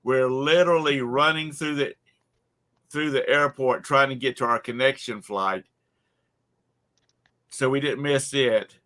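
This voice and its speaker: A man's voice: medium pitch, measured pace, long pauses, bright, forceful tone. A man with a Charleston accent telling a rambling travel story in casual chat, with many fillers and restarts. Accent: Charleston, South Carolina. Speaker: co-host.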